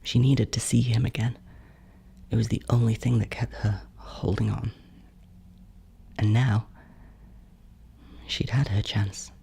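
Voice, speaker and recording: An actor voicing a bitter, yearning ghost in a horror audio drama monologue, brooding and breathy, often close to a whisper. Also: mid-range voice, steady tone, dark tone, full character voice. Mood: grieving, hushed. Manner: slow, halting, brooding. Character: bitter, yearning ghost of a stillborn adult sibling.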